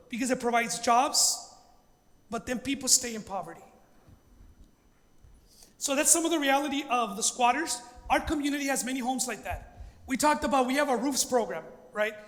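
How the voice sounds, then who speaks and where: Guy pastor from los angeles giving an earnest talk, bright voice, long reverb like a big hall. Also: very high voice. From Los Angeles, United States. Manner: earnest, emphatic, with rhetorical questions. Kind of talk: informal public presentation.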